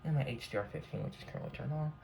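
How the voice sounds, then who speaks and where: A man giving a casual, unscripted show-and-tell as a hobbyist collector, rambling and halting as he corrects himself. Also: medium pitch, creaky, heavy background noise.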